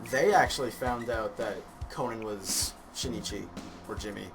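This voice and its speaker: A man's voice: on the low side, moderate pace, over loud music. A man chatting casually on a fan podcast in a tentative, agreeable way.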